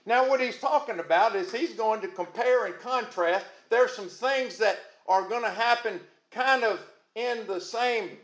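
A man preaching a sermon in a booming voice, folksy and calling out to the congregation. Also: fairly bright tone, echoey room. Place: church.

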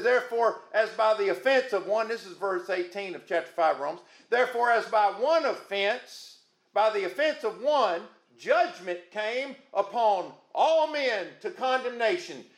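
A man preaching a sermon in a booming voice, folksy and calling out to the congregation. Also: reverberant. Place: church.

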